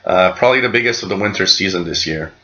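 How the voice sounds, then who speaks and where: A man's voice: low voice, fast talker, bright, forceful tone, dull audio. A middle-aged man from Canada casually explaining things as host of a hobby radio channel, recorded in a small room. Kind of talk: casual explanatory vlog talk.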